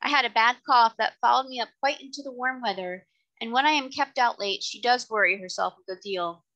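Lady doing a kind but firm working cab driver guy from a storybook, plain talking, bright forceful voice. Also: on the high side, brisk, lo-fi sound, pitched above the performer's own voice. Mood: respectful. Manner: plain-spoken, respectful working-class address. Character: kindly, firm male London cab driver, family man.

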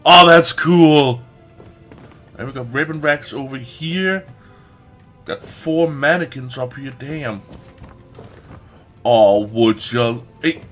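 A man's voice: medium pitch, fairly bright tone, faint music behind. A man giving casual, exclamatory gameplay commentary with frequent swearing as a let's play host. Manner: exclamatory, often swearing, drawn-out words.

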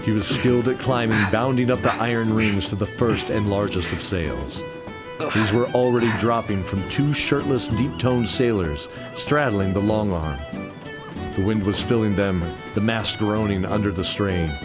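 A man narrates a scripted fantasy tale as in a radio play. His voice sits on the low side, with music clearly playing behind it. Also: normal speed.

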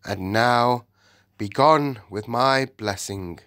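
A man voicing a majestic lion king, slow and grand. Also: medium pitch, sharp tone, no echo, clean studio sound. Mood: solemn. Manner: slow, grand, solemn delivery. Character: majestic male lion king, solemn and commanding.

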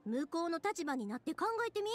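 A woman's voice: extremely high pitch, big pitch swings, a little fast. A woman playing a cheerful, considerate, chatty young woman with a Kansai accent in an anime fantasy game. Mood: friendly, explaining. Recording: music behind the voice.

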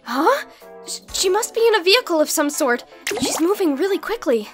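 A woman's voice: very high-pitched, big pitch swings, normal speed, faint music behind. A woman voicing a bubbly, naive and sweet teenage girl who sounds easily flustered, in an anime fan dub. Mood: worried.

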